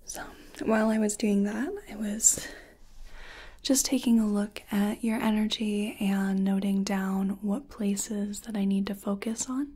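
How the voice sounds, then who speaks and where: A woman speaking softly in a breathy whisper, gentle and unhurried, role-playing a reiki practitioner in an ASMR personal attention style. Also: mid-range voice, little pitch movement. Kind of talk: soft-spoken ASMR personal attention roleplay.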